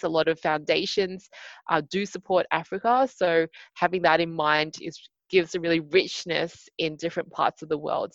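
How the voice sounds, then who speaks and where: A chatty woman with a low voice talks as an interview guest over a video call. She fills her sentences with "you know" and "like". The audio is dry and a little dull. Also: no echo. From Australia. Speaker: podcast guest, hackathon organiser.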